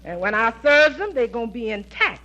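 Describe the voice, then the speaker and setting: A firm, sassy household cook from old radio comedy, with a bright, very animated delivery. She is folksy and emphatic, heard through a dull, band-limited old broadcast recording. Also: a little high, fairly quick. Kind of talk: 1940s radio comedy acting.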